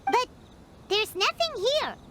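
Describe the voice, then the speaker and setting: A woman voicing a tiny, excitable, childlike fairy companion in a video game, with a squeaky voice. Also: slow pace, full character voice. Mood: puzzled. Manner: perky, exclamatory. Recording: background music under the voice.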